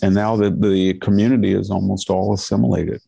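Deep, gravelly, stuffed-up older man. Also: flat delivery, mellow. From California. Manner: measured, lecturing delivery. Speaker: podcast guest commentator. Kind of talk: conversational political commentary.

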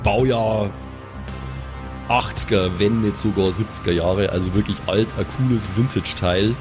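A man's voice: on the low side, moderate pace, music in the background. A man, improvising as game master in a colloquial, descriptive way. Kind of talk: improvised tabletop game narration.

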